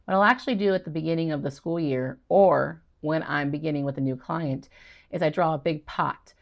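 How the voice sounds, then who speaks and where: A woman's voice: deep voice, a little fast, creaky, dull audio. A middle-aged woman, a reading tutor, explaining a teaching routine to parents and teachers and acting out her exchanges with students. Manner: encouraging, acts out student exchanges. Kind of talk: instructional talk to parents and teachers.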